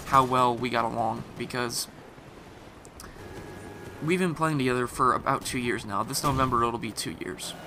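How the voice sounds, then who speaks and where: A man's voice: medium pitch, moderate pace, long pauses. Guy with a sore scratchy throat rambling thru his game commentary, um-ing a lot, guns going off behind. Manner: rambling, with many fillers and restarts. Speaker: gaming commentator. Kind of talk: casual voice-over commentary over gameplay. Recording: voice-over mixed over loud game gunfire. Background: game gunfire and explosions.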